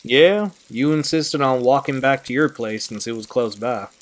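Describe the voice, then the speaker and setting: A man's voice: mid-range voice, normal speed, natural voice. Goofy young guy with a playful streak, acted by a man. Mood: breezy, joking. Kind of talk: audio drama acting.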